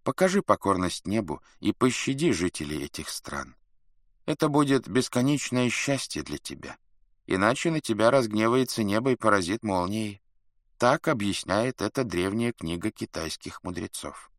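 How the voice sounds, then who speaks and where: A man's voice: on the low side, very animated, moderate pace, no echo, clean studio sound, voice pushed up. A man voicing a wise court counsellor in a historical storybook, solemn and self-important, as if reciting a prayer. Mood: solemn warning.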